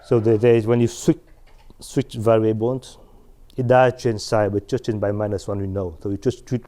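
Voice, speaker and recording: Male math lecturer, middle-aged, mellow voice, halting delivery with restarts, lapel mic in a big lecture hall. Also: fairly low pitch, flat delivery. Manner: halting, many restarts and fillers, asks "right?". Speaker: mathematics lecturer. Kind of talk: chalkboard lecture. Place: large lecture hall at a chalkboard.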